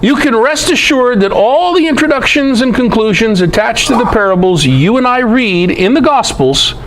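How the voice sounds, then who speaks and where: A man's voice: sits high, fairly bright tone, a little hiss or hum, poor audio. A man giving a conversational Bible lecture to a group, emphatic, posing rhetorical questions.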